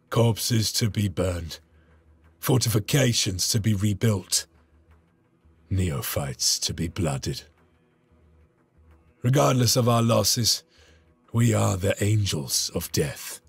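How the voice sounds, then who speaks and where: An actor playing a stern, battle-weary male space marine veteran in a game cutscene, solemn and commanding, with a gravelly, rasping voice. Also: low voice, dry recording, heavily acted. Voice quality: gravelly, very raspy. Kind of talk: dramatic video game cutscene acting.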